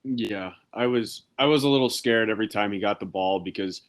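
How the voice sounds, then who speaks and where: A man's voice: on the low side, a little fast. Blunt, opinionated young man. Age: young adult. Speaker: podcast co-host. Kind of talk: casual sports chat.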